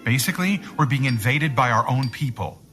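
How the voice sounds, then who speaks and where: An actor playing a level-headed, dryly witty sidekick in a radio drama, with soft music behind him. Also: male voice, medium pitch, lively intonation, a little fast, close to an everyday voice. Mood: dry, reasoning.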